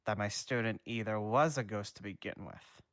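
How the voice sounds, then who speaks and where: A man voicing a calm, formal adult male schoolteacher who speaks in a measured, matter-of-fact way. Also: lowish voice, dry recording, clean studio sound. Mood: dry.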